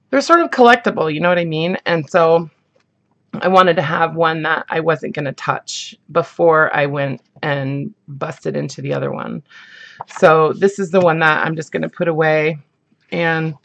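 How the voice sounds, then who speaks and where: A woman with a husky morning voice, chatting casually as she shows off craft supplies, rambling with lots of ums and likes. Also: low-pitched, a bit bright. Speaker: craft vlogger. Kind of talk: casual show-and-tell chat.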